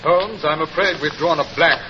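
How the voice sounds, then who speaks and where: A man playing an excitable, aristocratic lord in an emotional 1940s radio drama style. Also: fairly high pitch, normal speed, natural voice. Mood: despondent. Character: excitable English lord, easily despairing or indignant. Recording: muffled, noisy 1940s radio recording.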